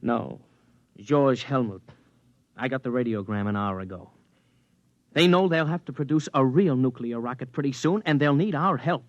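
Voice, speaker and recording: An actor playing a combative, insistent young physicist in a 1950s radio drama. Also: male voice, mid-range voice, animated, moderate pace, no echo, lo-fi sound, close to an everyday voice. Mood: wary, defiant. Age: young adult. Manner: insistent, argumentative.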